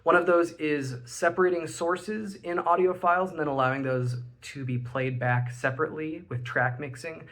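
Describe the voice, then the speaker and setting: A man's voice: fairly high pitch, steady tone, moderate pace, hardly any pauses, a bit bright. A man in his twenties with an American accent, giving a casual project presentation from a room at home. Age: 20s. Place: small home room indoors.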